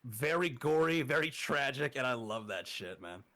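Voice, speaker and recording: A man's voice: mid-range voice, expressive, moderate pace, sharp tone. Laid-back swearing young man. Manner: laid-back, swears often, laughs a lot. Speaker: interview guest, horror narrator. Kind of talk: casual interview chat.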